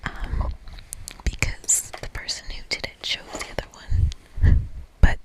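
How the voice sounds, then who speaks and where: Playful woman whispering ASMR. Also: takes it slowly. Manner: playful, informal. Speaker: ASMR creator. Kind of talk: whispered ASMR game narration and chat.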